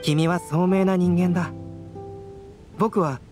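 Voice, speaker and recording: A man voicing a calm, aloof young alchemist in a Japanese anime game, measured and soft-spoken. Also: high-pitched. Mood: calm, reflective. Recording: studio voice over game music.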